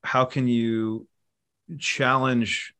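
A middle-aged man talking casually in an interview, relaxed and rambling, with many fillers and restarts. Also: mid-range voice, drawn-out words, smooth. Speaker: musician and producer guest.

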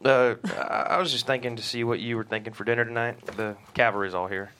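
An actor reading a shy, bumbling middle-aged husband at a script table read, hesitant and stammering. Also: male voice, medium pitch, steady tone, quick pace, sharp tone, a little hiss or hum, natural voice. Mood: awkward.

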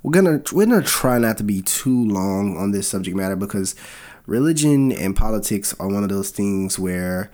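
A man co-hosting a casual podcast, his voice sitting low and a touch raspy because he has a cold. He talks earnestly and loosely, with plenty of ums and restarts. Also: animated, moderate pace, a little mellow. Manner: earnest, loose, with many fillers. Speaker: podcast co-host.

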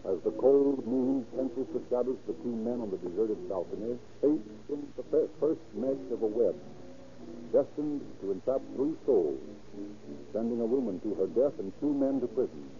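An actor playing a portentous crime-story narrator, solemn and dramatic, in scripted 1930s radio style. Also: male voice, medium pitch, animated, moderate pace, over music, close to an everyday voice. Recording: muffled old radio recording.

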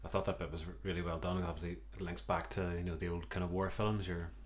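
A man's voice: low voice, level tone, fast, a little hiss or hum. A man co-hosting a podcast, chatting casually and making dry, wry asides. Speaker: podcast co-host.

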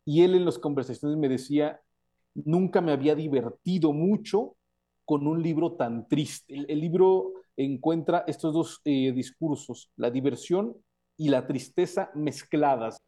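A man's voice: on the high side, a little slow, dark tone. A Mexican man speaking as an author, explaining his work in thoughtful, hesitant phrases. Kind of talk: reflective spoken commentary on own work.

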